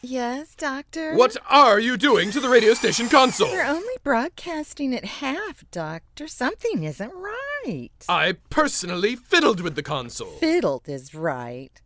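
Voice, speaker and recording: A woman's voice: a little high, big pitch swings, measured pace, few pauses, a bit bright, no room sound. A woman playing a giddy, bickering character in a slapstick radio sketch, exclamatory and exaggerated, with music behind her. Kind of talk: zany comedic radio acting.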